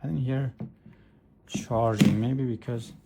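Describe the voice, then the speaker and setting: Guy doing a casual product walkthrough, normal pitch, kinda hesitant, filming at his desk. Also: fairly even intonation, slow pace, creaky, heavy background noise. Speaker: product reviewer. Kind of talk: casual hands-on demonstration. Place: indoors at a desk.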